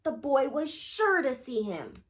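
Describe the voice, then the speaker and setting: Animated, high-voiced woman reading a story. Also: a little slow. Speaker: read-aloud storyteller. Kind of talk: expressive children's story narration.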